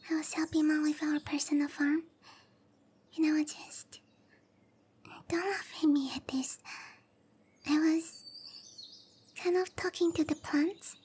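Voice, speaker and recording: A woman playing a shy, sweet, petite young cowgirl monster girl in an anime-style ASMR roleplay, bashful and hesitant, with a soft, breathy girlish voice. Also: very high-pitched. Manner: bashful, hesitant, slow and gentle.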